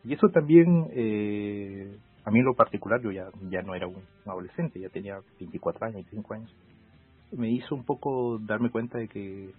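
A man's voice: on the low side, lively intonation, husky, faint music behind. A man in his forties from Peru with a Peruvian accent, talking reflectively as a law professor guest in an interview. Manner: measured, reflective, many fillers. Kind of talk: casual interview. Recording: phone-like call audio.

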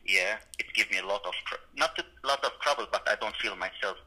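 Syrian-accented man, low pitch, very bright tone, halting phone-call speech. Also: husky. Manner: halting, searching for words. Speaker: student guest. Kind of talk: casual interview.

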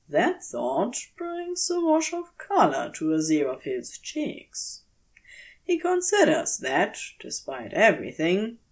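A woman narrating a scripted story as an audiobook reader, expressive and deliberate, with dramatic pauses. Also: medium pitch. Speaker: audiobook reader of fan fiction.

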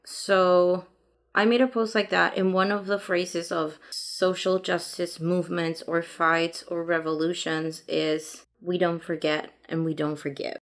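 A woman's voice: on the low side, level tone, measured pace. A Mexican woman whose native language is Spanish, co-hosting a podcast in casual chat, talking at length and laughing often. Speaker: podcast co-host.

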